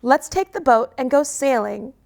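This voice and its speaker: A woman voicing a boisterous young male husky dog who plays at being a pirate in a children's story. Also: sits high, lively intonation, moderate pace, darkish tone, pitched above the performer's own voice. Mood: teasing.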